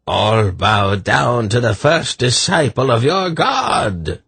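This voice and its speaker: A man voicing a deranged, imperious self-proclaimed god in a shrill voice, declaiming archaic commands for a fantasy storybook. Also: sing-song, slow, vocal fry, fairly bright tone, slightly rough, no echo, full character voice.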